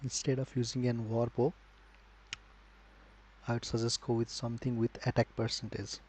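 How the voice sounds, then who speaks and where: A man giving casual, instructive advice on a live gaming stream, speaking in a halting way. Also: medium pitch, a little mellow. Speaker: game streamer. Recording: noisy live stream microphone.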